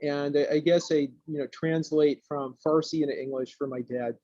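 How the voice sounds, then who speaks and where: A man's voice: a little high, steady tone, normal speed, warm tone, no room sound, dull audio. A man hosting a casual radio interview, hesitant and searching for his words. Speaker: radio show host interviewing.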